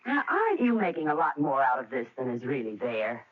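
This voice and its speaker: A woman's voice: rumbling low, big pitch swings, brisk. An actress playing an anxious, protective wife and mother in an old radio drama. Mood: worried. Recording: tinny, distant old radio recording.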